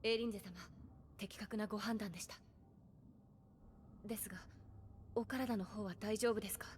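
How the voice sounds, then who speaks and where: A woman's voice: mid-range voice, steady tone, normal speed, slight background noise, natural voice. A woman voicing a dutiful, level-headed attendant, formal and deferential, in an anime game, over music. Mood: calm, concerned. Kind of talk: Japanese anime game voice acting. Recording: music behind the voice.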